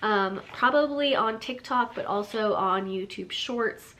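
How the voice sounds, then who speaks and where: A woman's voice: medium pitch, measured pace, a bit bright, poor audio. Chatty woman with a stuffy, nasal voice. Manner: chatty, lots of fillers and light laughs. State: nasal from a lingering cold. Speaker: book vlogger. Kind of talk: casual book haul chat.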